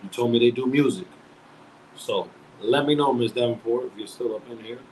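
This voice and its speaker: A man's voice: medium pitch, normal speed, a little hiss or hum, rough recording. Laid-back slangy man hosting a live show. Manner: slangy and conversational, reads out viewer shout-outs; speaks earnestly and preachily at length. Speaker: host of a live talk show. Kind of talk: casual live-stream chat.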